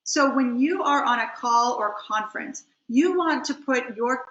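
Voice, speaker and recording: High-voiced middle-aged woman presenting a webinar. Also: animated, measured pace, a bit bright, slight background noise. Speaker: webinar presenter, communication coach and trial attorney. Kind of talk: instructional webinar talk. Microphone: computer on a video call. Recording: roomy, slightly dull computer audio.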